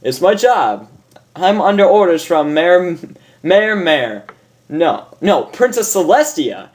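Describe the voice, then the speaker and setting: A woman's voice: fairly low pitch, very animated, takes it slowly, darkish tone, voice pushed up. A woman voicing a cartoon pegasus mare who bluffs as a self-important inspector, pompous and emphatic. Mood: officious bluffing.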